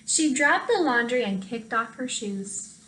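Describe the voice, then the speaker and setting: A woman reading a picture book aloud for children, expressive and speaking directly to her young listeners. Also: on the high side, measured pace, slight background noise. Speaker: storytime reader, teacher. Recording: roomy echo.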